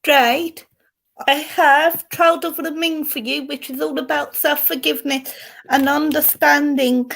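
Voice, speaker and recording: An English woman with a fairly high voice hosts a relaxed live stream from home. She chats easily, greets viewers by name and reads cards for them. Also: creaky, poor audio. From England. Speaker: host and card reader. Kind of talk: casual live-stream chat and card readings.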